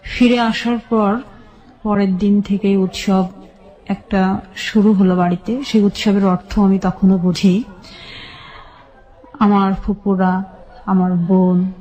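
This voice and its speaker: A woman with a Bangladeshi accent telling her personal life story as a radio guest, recalling it haltingly in short phrases. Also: medium pitch, flat delivery, warm tone, rough recording.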